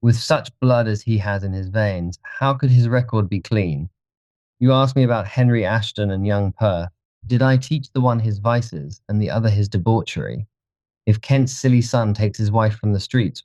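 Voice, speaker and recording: A man voicing a petulant, haughty, world-weary young gentleman with languid contempt, in an audiobook. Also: fairly low pitch, no room sound, highs cut off, pitched above the performer's own voice, close to an everyday voice. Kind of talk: audiobook character reading.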